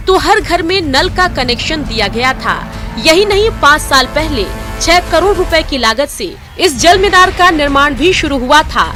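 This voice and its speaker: High-pitched Indian woman reading TV news. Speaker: news narrator. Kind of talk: scripted TV news voice-over.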